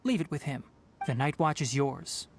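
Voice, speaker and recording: A young man acting in a video game as a calm, scholarly forest ranger, speaking in a measured, articulate, earnest way. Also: a little high, slight background noise, close to an everyday voice. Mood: mild, dry. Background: background music.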